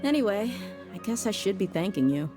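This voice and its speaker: An actress voicing a tough female mercenary bodyguard who is blunt and casual but soft at heart, in a game cutscene. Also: mid-range voice, very animated, fairly quick, music in the background, natural voice. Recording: game score softly behind.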